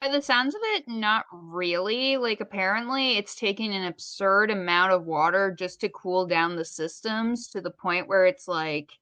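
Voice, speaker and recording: A woman's voice: mid-range voice, moderate pace, few pauses, sharp tone, no room sound. A woman co-hosting a podcast in casual, opinionated conversation, blunt and informal with a jokey streak. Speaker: podcast co-host.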